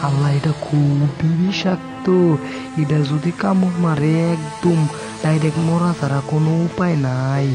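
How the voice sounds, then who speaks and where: A man voicing a talkative, excitable fox husband in a cartoon, with a colloquial eastern Bangladeshi accent and folksy exclamations. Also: on the high side, unhurried, close to an everyday voice. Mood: alarmed. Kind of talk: animated cartoon dialogue. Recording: music behind the voice.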